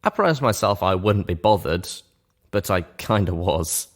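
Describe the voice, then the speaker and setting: A man's voice: deep voice, animated, normal speed. A man reading a first-person story aloud as scripted narration. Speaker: narrator, reader of fan fiction.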